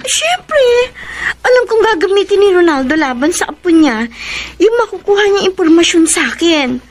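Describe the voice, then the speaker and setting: Coy, high-pitched young Filipina. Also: female voice, big pitch swings, normal speed. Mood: hesitant, guilty. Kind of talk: Filipino radio drama acting. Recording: music bed behind the voice.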